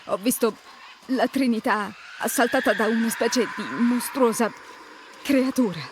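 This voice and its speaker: A woman's voice: a little high, measured pace, noisy background, natural voice. A woman playing a determined young adventurer in an Italian-language video game. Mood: urgent, insistent.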